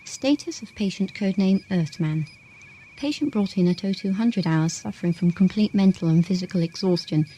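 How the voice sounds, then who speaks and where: A woman playing a brisk, sardonic space station doctor in an audio drama, dry and assured. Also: mid-range voice, dark tone, close to an everyday voice, poor audio. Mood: clinical. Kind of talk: radio drama acting. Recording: hissy amateur 1980s audio drama tape.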